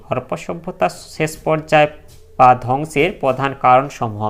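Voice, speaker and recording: Clear, smooth teacher reading aloud. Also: mid-range voice. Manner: instructional, addressing students directly. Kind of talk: reading exam questions and answers aloud as a lesson.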